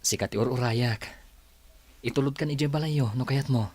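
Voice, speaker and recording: A man's voice: mid-range voice, moderate pace, breathy edge, dry recording, close to an everyday voice. A man acting a polite, patient suitor who was a security guard, in an Ilocano radio comedy drama, with a Filipino Ilocano accent. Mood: earnest, courting. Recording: loud hum and noise behind.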